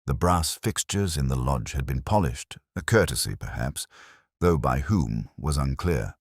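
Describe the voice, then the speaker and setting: A man voicing a pensive, wry police inspector who narrates a mystery story in a measured, literary, deliberate way. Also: a bass voice, lively intonation, husky, dry recording, studio quality, heavily acted. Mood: wry, observant. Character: pensive, wry older English male police inspector narrating his case. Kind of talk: scripted detective story narration.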